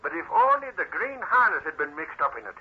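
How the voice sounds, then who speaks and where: An actor playing an excitable, folksy newspaper reporter in an old-time radio drama. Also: male voice, on the high side, quick pace, breathy edge. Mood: earnest, puzzled. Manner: blustery, folksy. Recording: muffled old radio recording.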